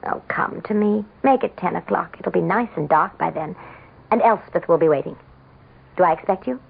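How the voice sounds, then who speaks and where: An actress playing a vain, desperate, scheming politician's wife in a melodramatic radio drama, with theatrical, anguished and imperious delivery. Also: female voice, lowish voice, normal speed, creaky, breathy edge, full character voice. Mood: seductive. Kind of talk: melodramatic radio drama acting and first-person narration. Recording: muffled lo-fi vintage radio broadcast.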